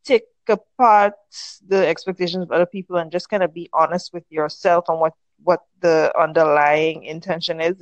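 A woman in her thirties from the Caribbean is a guest on a casual podcast. She speaks in a thoughtful, measured way and restarts her sentences often. The recording is dry and close. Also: on the low side, no room sound, lo-fi sound. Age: 30s. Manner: thoughtful, measured, many restarts while finding words. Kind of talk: casual interview chat.

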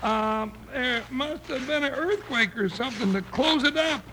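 Squeaky-high, twangy sidekick, big and blustery, old radio play with heavy hiss. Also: male voice, normal speed. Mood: hearty. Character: big gravelly-voiced adult male deputy sidekick, boisterous. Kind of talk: 1950s radio drama acting.

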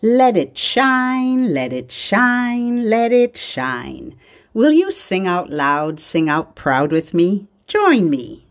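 A woman's voice: medium pitch, very animated, slow. A woman hosting a children's show, presenting in simple phrases for babies and toddlers. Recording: music behind the voice. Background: music.

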